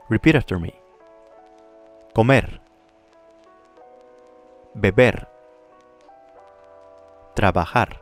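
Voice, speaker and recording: A man teaching a Spanish lesson, modeling phrases clearly and slowly for the listener to repeat. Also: fairly low pitch. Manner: clear, slow model pronunciation with pauses to repeat. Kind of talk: language lesson with drills.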